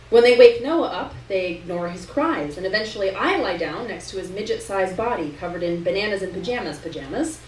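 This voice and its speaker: A woman reading a literary story aloud at a live event indoors. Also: medium pitch. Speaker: story reader. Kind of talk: literary narration read aloud to an audience. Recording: roomy distant live recording, noticeable hiss.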